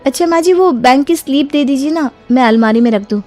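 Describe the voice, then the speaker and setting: Casting: actress as young daughter-in-law in a cartoon moral story, very high voice, eager, questioning, respectful, music underneath. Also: female voice, fast talker, darkish tone, lightly acted. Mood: inquiring. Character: bright, polite, inquisitive young daughter-in-law. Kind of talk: acted Hindi moral story dialogue.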